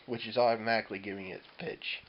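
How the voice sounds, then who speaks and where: Guy w a lowish voice doing a laid-out-loose music how-to, kinda hesitant, muffled lofi audio. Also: steady tone, fast. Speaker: musician giving a tutorial. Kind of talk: casual instructional demo talk. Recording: dull lo-fi audio with clear hiss.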